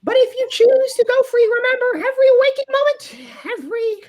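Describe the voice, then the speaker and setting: A man's voice: very high-pitched, fairly even intonation, a little fast, a little mellow. A man voicing a formal, grandiose, menacing cartoon villain in a live comic dub. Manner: formal, theatrical.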